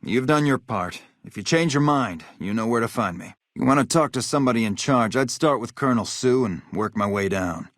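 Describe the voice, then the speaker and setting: An actor playing a hard-bitten, vengeful military officer in a video game, blunt, profane and matter-of-fact. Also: male voice, mid-range voice, animated, fairly quick, hardly any pauses, a bit bright, no echo, studio quality, natural voice. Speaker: game character, army officer.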